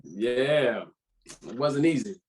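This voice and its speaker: A man's voice: medium pitch, expressive, slow pace, darkish tone. A man playing a laid-back, bantering old lover in a drama script read, with an American accent and casual, slangy speech. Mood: proud, friendly. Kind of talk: informal screenplay table read.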